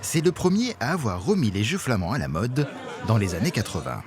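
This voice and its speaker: A man's voice: medium pitch, sing-song, brisk, heavy background noise. Man narrating a travel documentary. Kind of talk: scripted narration.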